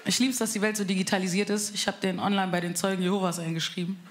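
A young woman from Bavaria doing a cheeky, deadpan stand-up set on a club stage. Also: medium pitch, fast talker. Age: young adult. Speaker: stand-up comedian. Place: live comedy club stage. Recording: live stage mic, loud crowd and room noise.

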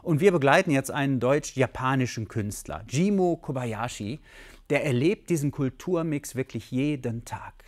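A man as presenter, giving a brisk, upbeat lead-in to a TV report. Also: medium pitch, expressive. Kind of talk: TV presenter introduction.

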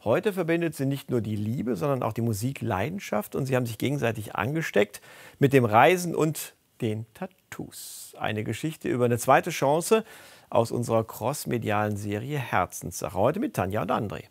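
A man presenting a regional news magazine in a relaxed, chatty way. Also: medium pitch, normal speed, creaky, slightly rough.

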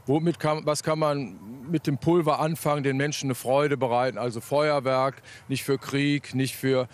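A man interviewed as an expert, speaking spontaneously and a little haltingly, repeating words. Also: medium pitch, steady tone. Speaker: chief pyrotechnician being interviewed. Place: outdoors on a festival meadow.

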